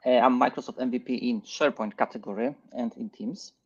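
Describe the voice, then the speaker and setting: Middle aged polish guy doing a tech talk online, creaky voice, kinda muffled audio, stops and starts. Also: medium pitch, fairly even intonation, fairly quick. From Poland. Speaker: guest presenter. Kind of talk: live tech presentation. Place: online livestream session.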